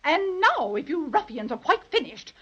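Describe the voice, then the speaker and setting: Penelope Pettifer Pelican, a snooty pelican. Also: female voice, high-pitched, big pitch swings, moderate pace, fairly bright tone. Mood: haughty. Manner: pompous, indignant, huffy. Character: haughty snobbish female pelican. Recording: muffled 1930s radio transcription.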